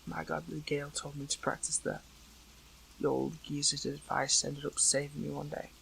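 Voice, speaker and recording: A man playing an earnest, hurt captive superhero in an audio roleplay, speaking in halting, pleading phrases. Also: a little high, level tone, creaky, a bit bright, slight background noise, poor audio. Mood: relieved, wry. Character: captive male superhero, earnest, hurt, questioning an old friend. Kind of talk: dramatic audio roleplay acting, one side of a dialogue.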